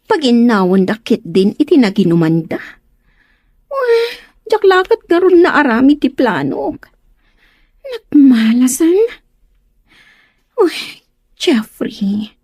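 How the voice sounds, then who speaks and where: A woman playing a scheming, lovesick young woman in an audio drama, with drawn-out theatrical delivery and a husky, breathy voice. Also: high-pitched, very animated, a little mellow, no room sound, clean studio sound, exaggerated voice. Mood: gloating, irritated. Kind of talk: Ilocano radio-style drama acting.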